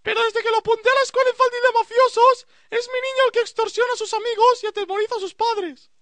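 A man putting on high, comic testimonial voices in a parody advertisement. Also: steady tone, fast, sharp tone, no echo, full character voice. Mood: mock testimonial. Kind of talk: mock ad voice-over with character testimonials.

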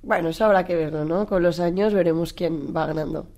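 A woman's voice: fairly low pitch, a little fast, dark tone. A woman with an accent from Spain hosting a radio show in casual comedic banter.

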